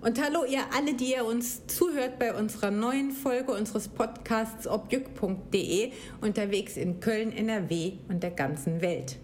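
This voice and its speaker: A woman's voice: a little high, moderate pace, few pauses, a little mellow, a little hiss or hum. A woman from Germany, co-hosting a podcast and chatting with enthusiasm. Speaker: podcast co-host. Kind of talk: casual podcast chat.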